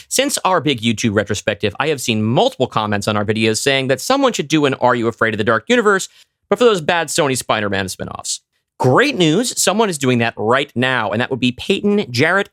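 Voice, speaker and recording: A man's voice: medium pitch, fairly bright tone, no echo, studio quality. A man reading sponsor messages as a podcast host. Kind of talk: host-read ad.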